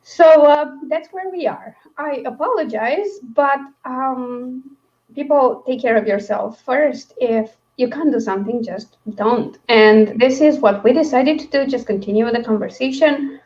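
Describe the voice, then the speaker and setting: A woman's voice: high voice, unhurried, darkish tone, lo-fi sound. A woman hosting a casual book stream, chatting in a rambling, giggly way. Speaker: host of a book read-along stream.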